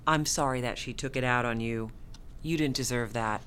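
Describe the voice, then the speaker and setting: An actress in a radio drama playing a composed, firm yet compassionate hospital staffer, speaking in a measured, formal, consoling way. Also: female voice, rumbling low, fairly bright tone, slight background noise.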